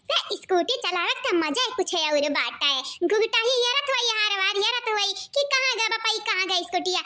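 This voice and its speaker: A woman's voice: very high-pitched, animated, a little fast, pushed and bright, full character voice. A woman voicing a crafty, defiant old lame village mother-in-law in a cartoon, scolding and boasting with an Awadhi accent. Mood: boastful scheming. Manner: boastful, scolding, repeats words for emphasis. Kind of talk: exaggerated comic cartoon acting.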